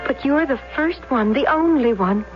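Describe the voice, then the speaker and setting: Naive, yearning young waitress, high-pitched and hesitant, vintage radio drama, dull archival sound. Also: female voice, animated, moderate pace. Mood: yearning, lonely. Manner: hesitant, earnest. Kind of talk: 1970s American radio drama acting. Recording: dull old radio recording, loud music.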